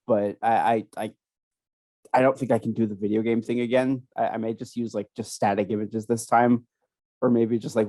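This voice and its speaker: A young man co-hosting a casual chat, rambling and halting with many restarts, still sniffly from a cold. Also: fairly low pitch, warm tone, dry recording. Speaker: podcast co-host.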